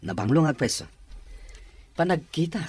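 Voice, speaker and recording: An actor playing a courteous company boss in an Ilocano radio drama, with a husky voice. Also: male voice, fairly high pitch, very animated, moderate pace. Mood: curious, pleased. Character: male company boss, courteous, curious about home cooking.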